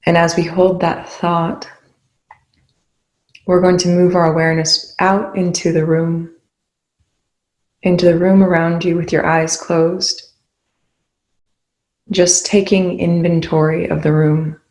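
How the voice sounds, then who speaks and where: A meditation instructor speaking in a soothing, unhurried way with long pauses, guiding a body awareness practice. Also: medium pitch, level tone, darkish tone. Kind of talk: guided meditation. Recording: echoey room.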